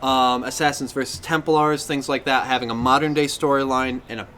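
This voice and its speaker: A man's voice: mid-range voice, fairly even intonation, a little fast, a bit bright, a little hiss or hum. A man chatting enthusiastically and casually as co-host of a pop-culture podcast.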